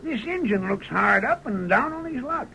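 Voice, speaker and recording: A feisty old frontier prospector, very high and swooping, with folksy American grammar. He is stubborn and sharp-edged in old radio Western style. The recording is thin and noisy. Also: expressive, normal speed, exaggerated voice. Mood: proud, gruff. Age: older. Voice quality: creaky, high old-timer voice. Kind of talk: 1930s radio acting.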